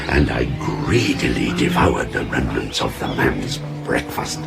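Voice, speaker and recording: An actor playing an articulate, sorrowful outcast monster with a gravelly voice, telling his tale in formal archaic speech in a radio drama. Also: very deep voice, full character voice. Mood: wondering. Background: music.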